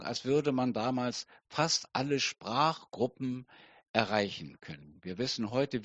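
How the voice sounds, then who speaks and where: A middle-aged man preaching a sermon slowly and deliberately, with emphatic pauses. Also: mid-range voice, no room sound, muffled recording. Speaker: pastor.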